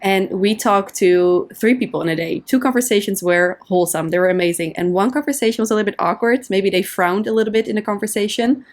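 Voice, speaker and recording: A woman from the Netherlands, a confidence coach and podcast guest, explaining ideas in a casual way and acting out quick inner voices as examples. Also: medium pitch. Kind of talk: casual interview conversation.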